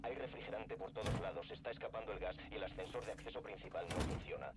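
A man's voice: on the low side, fast. An actor playing a weary male station technician logging a report over the radio in a video game. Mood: businesslike, frustrated. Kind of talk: video game audio log, Spanish dub.

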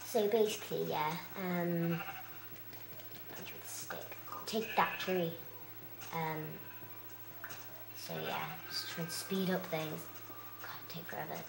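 A young girl rambles through her let's play with plenty of fillers, her voice croaky and gravelly. She talks low for her age. The room around her sounds echoey. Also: steady tone, slow pace, pauses often. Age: child. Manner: chatty, rambling, many fillers. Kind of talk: casual game commentary.

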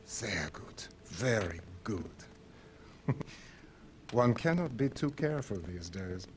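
A man's voice: on the low side, very animated, drawn-out words, pauses often, a little hiss or hum, exaggerated voice. An actor playing a middle-aged Nazi officer in a war game, German-accented, mock-courteous and menacingly polite as he savors his words. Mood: gloating, menacing. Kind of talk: cinematic war game acting.

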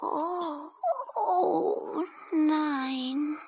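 A woman's voice: sits high, drawn-out words, a little mellow, exaggerated voice. A woman playing a caring, eager young girl heroine in a children's radio play. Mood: concerned.

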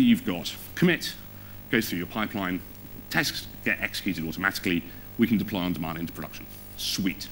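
Low-voiced man presenting, lively pitch swings. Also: rough recording. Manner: informal, conversational, with rhetorical questions and check-ins to the audience. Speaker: conference speaker. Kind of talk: technical conference presentation. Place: on stage in a theatre or auditorium.

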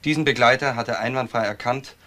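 An actor plays a correct, businesslike policeman in a crime radio drama. His male voice is mid-pitched. He speaks formally and sticks to the facts. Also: fast, pushed and bright, close to an everyday voice. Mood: official. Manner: formal, factual.

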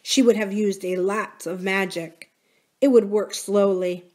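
A woman's voice: mid-range voice, fairly even intonation, unhurried, darkish tone, natural voice. A woman voicing a stern, cryptic old witch who gives grave, emphatic warnings in an audiobook story. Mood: urgent, ominous.

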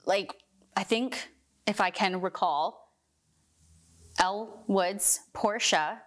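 A woman's voice: medium pitch, slow pace, a bit bright. Hesitant young Canadian woman guest. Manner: thoughtful, hesitant, many restarts and ums. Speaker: guest, community theatre actor. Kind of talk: casual interview chat. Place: living room set with couch.

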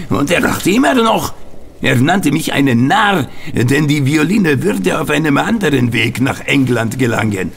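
A man's voice: a little high, vocal fry, a bit bright, slightly rough, full character voice. An actor playing a hot-tempered Italian man confessing a failed mission in an audio drama. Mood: agitated confession. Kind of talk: German radio play acting.

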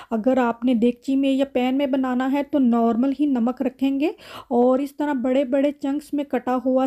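A woman's voice: high-pitched, mellow. A woman with a Pakistani accent narrating home cooking in a conversational recipe voice-over, as a vlogger. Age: adult mother.

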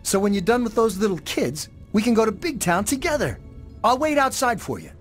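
Eager, whiny teen boy for a video game; chatty, naive, very animated delivery, music underneath. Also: high-pitched, a little fast. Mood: eager, persuasive. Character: eager, whiny teenage boy, newly exiled.

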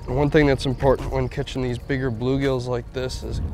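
A man's voice: mid-range voice, moderate pace, over music, poor audio. A man in his thirties, an outdoors host, explaining fishing technique on a boat in an easygoing, explanatory way. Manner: easygoing, explanatory, laughs often when excited. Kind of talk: casual fishing instruction.

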